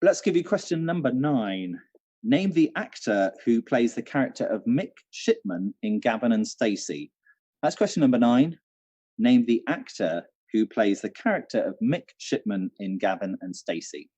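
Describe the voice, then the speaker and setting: A man's voice: mid-range voice, expressive, normal speed, mellow, slightly rough, no room sound. A man hosting a casual live quiz from home, chatty and repeating questions for listeners, on a dull livestream recording. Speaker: quiz host, theatre venue manager.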